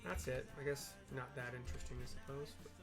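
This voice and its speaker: A man's voice: mid-range voice, level tone, quick pace, music in the background. A man giving casual, hesitant gameplay commentary, thinking aloud as he describes what happens on screen. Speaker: gameplay streamer. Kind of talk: casual game commentary with narrated descriptions of the action.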